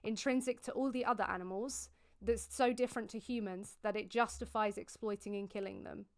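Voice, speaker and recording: A British woman hosting an online debate call, asking calm, probing questions. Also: medium pitch, a little fast. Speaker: vegan debate host.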